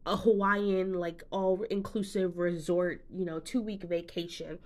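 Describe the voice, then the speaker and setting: A woman's voice: fairly low pitch, steady tone, unhurried, a little mellow. Woman podcast host and business coach, casual and upbeat, speaks straight to the listener. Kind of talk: solo motivational podcast talk.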